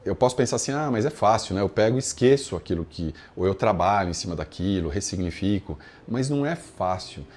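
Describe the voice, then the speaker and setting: A man's voice: on the low side, lively intonation, fairly quick, few pauses, darkish tone. A man with a Brazilian Portuguese accent giving an informal, conversational lesson, explaining ideas step by step and often adding short check-in tags. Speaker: yoga philosophy teacher.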